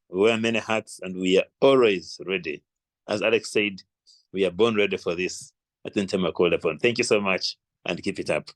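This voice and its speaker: Male Ugandan meeting host, lower register, courteous and formal, encouraging delivery. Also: a bit bright, no room sound. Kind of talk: addressing a club meeting.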